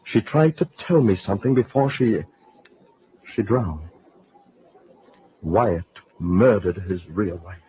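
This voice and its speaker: A man playing a horrified gentleman in an old-time radio horror play, dramatic and halting. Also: lowish voice, breathy edge, exaggerated voice. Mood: dawning horror. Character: horrified gentleman piecing together a murder. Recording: muffled old radio recording.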